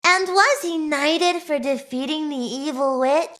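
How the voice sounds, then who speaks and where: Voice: high voice, unhurried, fairly bright tone. A performer playing a curious, eager little child in a video game, speaking in a wondering, questioning way. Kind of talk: video game cutscene acting.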